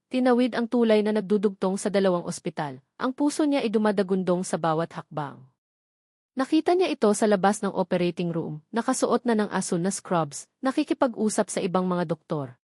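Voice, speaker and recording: A woman's voice: medium pitch, a little mellow, no room sound, studio quality. A woman reading a dramatic scripted story as an audiobook narrator, with an emotive storytelling delivery.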